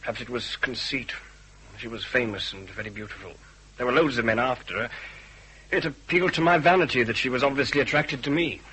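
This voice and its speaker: A man's voice: medium pitch, brisk, bright, forceful tone, breathy edge, poor audio. A man playing an anxious, well-bred British husband in a radio drama, formal and stiff as he justifies himself with some hesitation. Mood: stiff, defensive. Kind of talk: radio detective drama acting.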